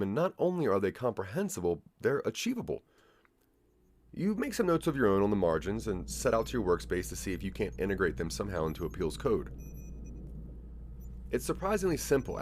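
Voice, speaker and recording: A man's voice: fairly low pitch, darkish tone. A man narrating a scripted audio drama in the second person, as a storyteller addressing the listener.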